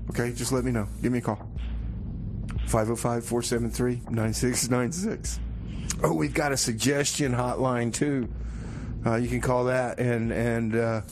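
A man's voice: mid-range voice, little pitch movement, a little slow, slight background noise. A man hosting a community radio show with casual, comedic DJ patter, rambling in a folksy way and repeating his phrases.

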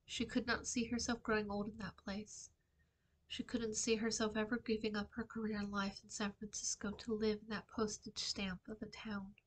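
A middle-aged woman, an author reading her own fiction aloud in a measured, dramatic storytime delivery. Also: mid-range voice, little pitch movement, slight background noise, low-quality recording. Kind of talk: expressive storytime book reading. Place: small indoor room with shelving.

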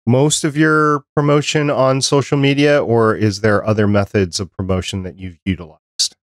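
A man's voice: mid-range voice, flat delivery, moderate pace, no room sound, studio quality. A man in his fifties hosting a casual interview, wry and steering the conversation along. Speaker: podcast host. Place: home office, video call. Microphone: close studio mic with headphones.

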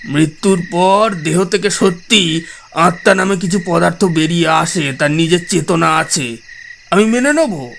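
A man's voice: high voice, a little slow, creaky, a bit bright, a little hiss or hum, heavily acted. A man playing an irascible, skeptical headmaster in an audio drama, blustering and scolding with sarcasm. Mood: argumentative, insistent. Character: irascible skeptical headmaster, scornful of ghost believers.

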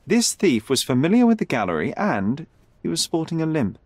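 A self-assured young male detective from a video game. His voice sits a little high and moves nimbly in pitch, with precise, analytical, faintly haughty phrasing. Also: very animated, fairly quick, no echo. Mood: assertive, dismissive. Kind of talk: video game cutscene acting.

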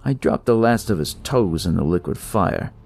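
A man's voice: sits low, lively intonation, normal speed, gravelly, darkish tone, soft background music. A man narrating a first-person noir horror story in a dramatic storytelling style.

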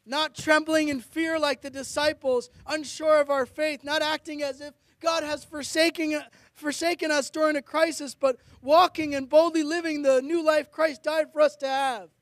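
A man's voice: very high-pitched, level tone, normal speed, no room sound. A middle-aged man preaching a sermon as a pastor, earnest and declamatory, reading out scripture. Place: outdoors on a high ledge in a blizzard. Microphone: handheld or stand microphone close to the mouth.

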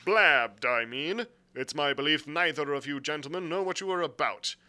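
A man's voice: a little high, expressive, moderate pace, bright, forceful tone, pitched above the performer's own voice. A man voicing a stern, stubborn ship's captain in an adventure story, clipped and plain-spoken. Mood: blunt, disapproving.

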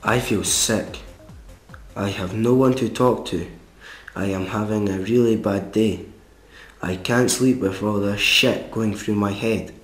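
A man's voice: fairly low pitch, fairly even intonation, measured pace, lightly acted. A stressed-out schoolboy in an amateur cartoon acted by a man, sullen and complaining. The voice sits in a very echoey room with faint music behind it. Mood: miserable. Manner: sulky, complaining. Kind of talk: amateur animated film voice acting.

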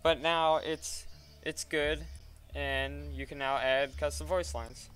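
A man casually talking through a software tutorial step by step in an informal way. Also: fairly high pitch, fairly even intonation, slow pace, clean tone, faint music behind. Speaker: tutorial presenter. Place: voice-over at a computer.

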